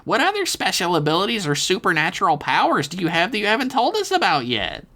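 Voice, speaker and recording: A man's voice: sits high, huge pitch swings, quick pace, pushed and bright, pitched above the performer's own voice, heavily acted. A man voicing a nerdy, timid weakling son who stammers and talks in awkward, wordy phrases. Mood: whispered scheming.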